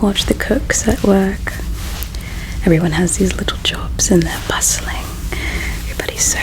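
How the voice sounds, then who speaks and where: A woman playing a gentle, caring alien in an ASMR roleplay, speaking in a slow, hushed, tender and wistful way with a breathy quality. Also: mid-range voice, close to an everyday voice, rough recording. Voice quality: breathy whisper with creaky edges. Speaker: ASMR performer. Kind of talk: ASMR roleplay, whispered and soft-spoken.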